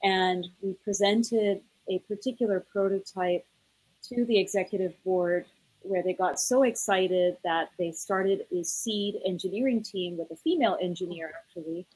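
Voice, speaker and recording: A woman speaking as a research manager, telling her story on a conference panel in a measured, explanatory way. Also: medium pitch, darkish tone, slightly rough, no room sound, low-quality recording.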